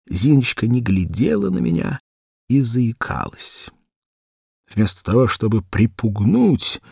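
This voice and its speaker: A man narrating a classic story for children as an audiobook, expressive and theatrical, with wry, deliberate phrasing. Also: fairly low pitch, gravelly, no room sound, studio quality. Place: dry recording studio.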